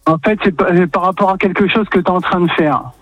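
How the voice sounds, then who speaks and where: A man phones in to a radio show from his truck, plainspoken and a little hesitant. His voice sits quite high for a man, and his delivery is relaxed. Faint music plays behind the call. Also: quick pace, no room sound, lo-fi sound. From northern France. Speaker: caller, truck driver. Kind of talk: casual phone-in chat.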